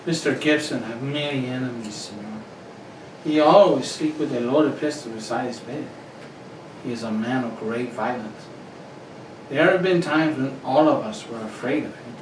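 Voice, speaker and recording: A grave, formal Brazilian manservant, played by a man, with a medium-pitched voice. He speaks in solemn, stilted phrases as in an old radio mystery. The sound is distant and noisy. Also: moderate pace, close to an everyday voice. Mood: grave, foreboding. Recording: roomy, distant, clearly noisy recording.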